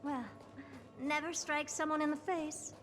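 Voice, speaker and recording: Self-assured genius puppet-maker, female, pompous, theatrical, fairly high voice, video game, clear music behind. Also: fairly even intonation, a little slow. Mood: composed, intrigued. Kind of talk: scripted video game voice acting.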